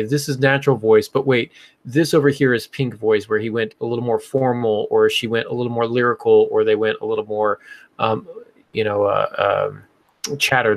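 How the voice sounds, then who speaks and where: A man's voice: mid-range voice, no echo. A man hosting a casual podcast discussion, explaining like a teacher and thinking aloud as he goes. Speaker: podcast host and writing teacher.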